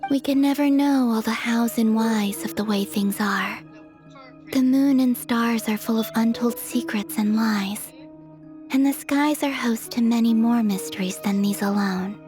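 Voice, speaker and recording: A woman voicing a serene, gentle, enigmatic young moon goddess in an anime game, speaking softly and with measure. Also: on the high side, unhurried, soft background music, lightly acted. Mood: gentle, reflective.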